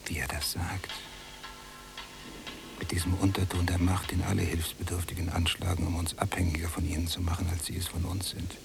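An actor playing an embittered, suspicious younger prisoner in a literary radio play, brooding and sardonic in manner. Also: male voice, low voice, little pitch movement, brisk, creaky. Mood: muttering, scornful. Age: young adult.

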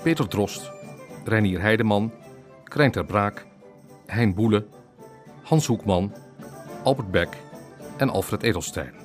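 A man's voice: on the low side, takes it slowly, long pauses, soft background music. A man announcing a Dutch radio drama, reading scripted credits.

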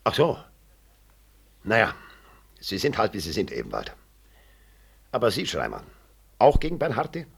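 Radio drama: low, gravelly senior professor, sharp and sarcastic, swooping intonation. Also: male voice, huge pitch swings, normal speed, pauses often, heavily acted. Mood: mocking. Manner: biting irony, rhetorical questions. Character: combative, sarcastic senior medical professor defending his colleague. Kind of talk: 1960s German radio play acting.

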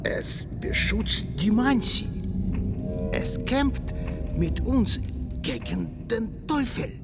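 An actor playing a solemn male interpreter of old spirit lore in a radio drama, speaking in a halting, mysterious way. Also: high-pitched, lively intonation, vocal fry, heavily acted. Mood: grave. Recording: loud music behind.

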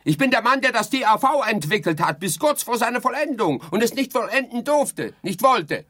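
An actor playing a haughty, exasperated dead sleep researcher in a theatrical radio play, declaiming and lecturing indignantly. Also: male voice, extremely high pitch, sing-song, moderate pace, sharp tone, heavily acted. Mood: boastful, agitated.

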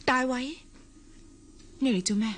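A woman's voice: sits high, measured pace, slight background noise. An actress playing a kind, reclusive young woman in a radio drama, earnest, with a Hong Kong Cantonese accent. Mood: weary, guarded. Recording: prominent music behind the voice.

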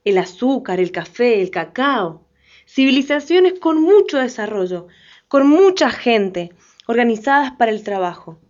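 A woman's voice: a little high, sing-song, unhurried, a little mellow. A woman narrating an educational radio drama in a solemn, emphatic manner. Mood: earnest. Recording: dull lo-fi sound.

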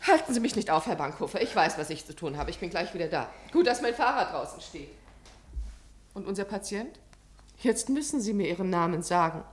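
An actress playing a kindly, resolute woman in a 1950s German radio play, speaking briskly and persuasively. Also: fairly low pitch, animated, lightly acted. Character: kindly, resolute woman, plain-spoken and eager to help. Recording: vintage radio recording, faint noise.

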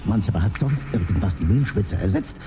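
An actor in his forties playing a man who grew up poor, speaking in a slow, brooding way in a radio drama. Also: fairly low pitch, animated. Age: 40s. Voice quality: gravelly. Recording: studio with music underneath.

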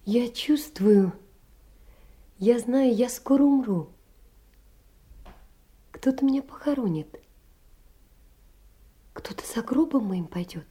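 An actress playing a gentle, lonely poor young woman, wistful and earnest, in a theatrical literary audio drama. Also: a little high, lively intonation, takes it slowly, long pauses, breathy edge, heavily acted. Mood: sad, wistful.

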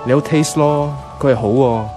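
A man's voice: medium pitch, moderate pace, lightly acted. An actor plays a helpful, teasing male office colleague with a Hong Kong Cantonese accent. His mid-range voice is casual and coaxing, in radio drama style over faint music. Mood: casual, probing.

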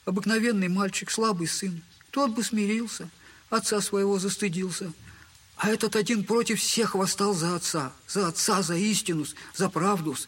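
A man's voice: sits high, moderate pace, a bit bright, husky. An actor in an audio drama playing a humiliated, buffoonish middle-aged poor father who talks in a servile, wordy, self-mocking way. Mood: sorrowful, tender. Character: humiliated, buffoonish impoverished father, bitter and tender. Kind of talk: Russian literary audio drama acting.

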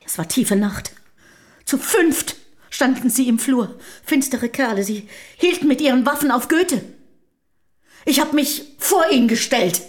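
An actress in a German radio play playing a proud, self-assured middle-aged wife of a poet, speaking in formal, measured period diction. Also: female voice, high voice. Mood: agitated, indignant.

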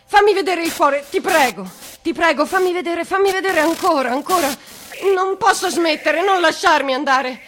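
An actress playing a working-class woman in a theatrical radio monologue, haunted by memory, halting and repeating herself as she searches for words. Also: extremely high pitch, fairly bright tone, slight background noise. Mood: anguished pleading. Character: working-class Italian woman haunted by remembering a national tragedy. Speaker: stage actress performing a monologue.

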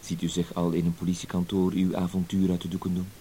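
An actor playing a cool, self-assured professional blackmailer in a radio drama, terse and matter-of-fact. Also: male voice, sits low, monotone, fast talker, mellow, natural voice. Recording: hissy old radio play recording.

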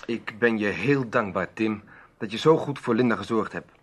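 A man's voice: fairly low pitch, normal speed, natural voice. An actor playing an earnest, bold adventurer telling his story emphatically in a Dutch radio drama.

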